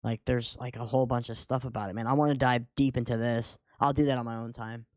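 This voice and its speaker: A man's voice: medium pitch, fairly even intonation, fast, no room sound, clean studio sound. A man co-hosting a podcast in a casual, conversational style. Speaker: podcast co-host.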